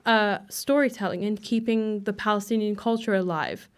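A woman's voice: mid-range voice, steady tone, normal speed, smooth. Earnest young woman, reflective interview guest. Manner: earnest, reflective, many fillers like "you know". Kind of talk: casual interview answers.

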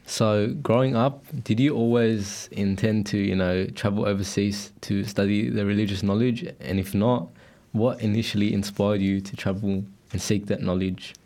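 A man hosting a studio interview, asking calm, measured questions. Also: on the low side. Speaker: podcast host.

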